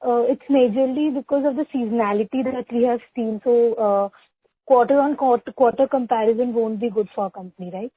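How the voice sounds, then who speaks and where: A woman's voice: fairly high pitch, fairly quick, breathy edge, no echo. A woman with an Indian accent politely putting questions to company executives as an analyst on a business call. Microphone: conference call line. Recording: dull, lo-fi conference call audio.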